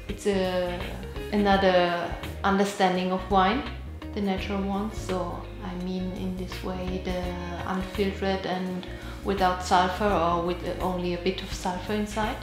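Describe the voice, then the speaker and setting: A woman's voice: on the low side, little pitch movement, measured pace. Woman in an echoey room, hesitant. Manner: hesitant, with fillers. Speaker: winery representative. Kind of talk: interview answers. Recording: very echoey with background music. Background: music.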